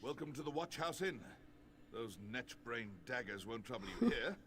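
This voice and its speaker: A man's voice: mid-range voice, animated, normal speed, lightly acted. A man voice-acting a welcoming innkeeper in a fantasy video game. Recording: game audio under loud music.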